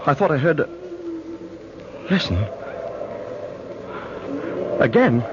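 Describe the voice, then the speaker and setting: A slightly noisy, muffled old radio recording carries a polite, uneasy gentleman passenger. He speaks earnestly and courteously in horror-serial style, with very lively intonation. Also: on the high side, drawn-out words, heavily acted. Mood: alarmed, urgent. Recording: muffled vintage radio recording, faint noise.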